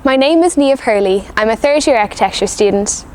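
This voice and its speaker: A twenty-year-old woman, talking as a student being interviewed, in a measured conversational way. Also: fairly high pitch, lively intonation.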